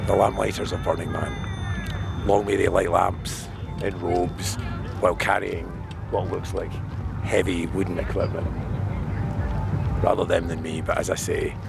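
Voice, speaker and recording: A man with a hoarse, worn-out voice talking casually and wryly in a spontaneous outdoor video diary. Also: level tone, measured pace, long pauses, loud music behind the voice. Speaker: vlogger filming a festival diary. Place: outdoors at a desert festival. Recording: noisy outdoor recording with vehicle rumble.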